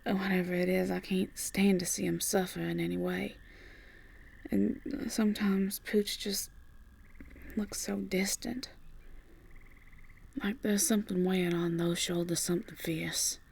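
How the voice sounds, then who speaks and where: A woman playing a tender, worried Southern US farmer sweetheart in an audio roleplay, in a breathy, husky voice that is often near a whisper, with a soft, halting drawl. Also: fairly low pitch, fairly even intonation, exaggerated voice. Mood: wistful, worried. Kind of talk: ASMR audio roleplay acting.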